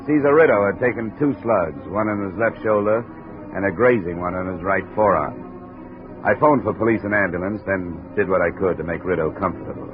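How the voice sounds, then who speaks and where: An actor playing a wry, blunt insurance investigator in a 1950s radio detective drama, speaking in clipped, sardonic phrases. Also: male voice, deep voice, a little fast, natural voice. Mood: wry narration. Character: hard-boiled, wry male insurance investigator. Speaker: lead actor in a radio series. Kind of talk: 1950s radio detective drama, first-person narration and dialogue. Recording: tinny 1950 radio recording with music.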